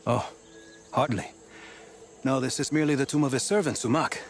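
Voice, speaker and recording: A man's voice: mid-range voice, normal speed, faint music behind, lightly acted. A man playing an earnest, erudite archaeologist in a video game, speaking precisely. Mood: earnest, measured. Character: earnest, scholarly male archaeologist, precious about relics. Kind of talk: video game dialogue acting.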